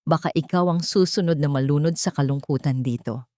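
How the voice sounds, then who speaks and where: Woman voicing a wronged wife, low voice, firm, dignified, defiant, story drama, dry close sound. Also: fairly quick, warm tone, no room sound, lightly acted. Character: wronged, proud, heartbroken young wife standing her ground.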